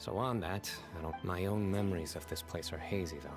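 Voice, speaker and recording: A man voicing a calm, resolute desert guardian in a video game, speaking in a measured, serious way. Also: deep voice, music in the background, close to an everyday voice. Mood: solemn, reflective. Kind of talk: scripted video game dialogue.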